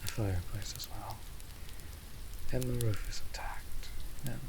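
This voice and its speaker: A man playing a courtly, protective vampire patriarch in an intimate fantasy audio roleplay, with breathy, often whispered, formal speech. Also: on the low side, steady tone. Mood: weary, protective. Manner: formal, archaic phrasing, deliberate. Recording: rain noise over close voice. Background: rain on surfaces.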